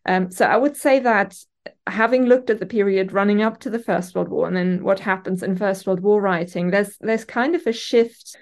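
A woman with a German accent talks through her research in an interview, her voice sitting at an ordinary middle pitch. She thinks aloud, hesitating with frequent ums and self-corrections as she builds each point. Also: normal speed. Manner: thoughtful, hesitant with many ums and restarts. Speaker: literature academic guest. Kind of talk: conversational interview answers. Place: university office. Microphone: remote call from office.

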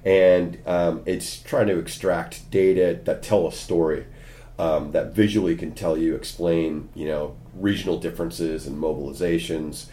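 A Californian American man, a history lecturer, answering interview questions in his office, often pausing with ums and restarting. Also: low voice, steady tone, warm tone. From California, USA. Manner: frequent ums and restarts, lightly wry. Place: university office. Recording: imperfect office recording.

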